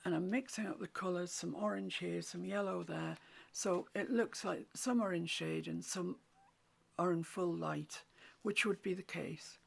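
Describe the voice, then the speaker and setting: Croaky, half-whispering woman. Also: lowish voice, a little slow. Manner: gentle, often half-whispered instructions. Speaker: watercolour painting teacher. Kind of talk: soft-spoken painting tutorial. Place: home room with a mantelpiece.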